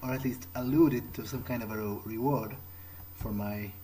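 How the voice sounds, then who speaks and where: A man's voice: on the low side, fairly even intonation, moderate pace, background noise, lightly acted. A man playing an awkward, dry vampire adventurer in a hesitant, rambling improvised commentary on a video game. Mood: musing. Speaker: let's player. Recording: loud game music behind voice. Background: video game soundtrack.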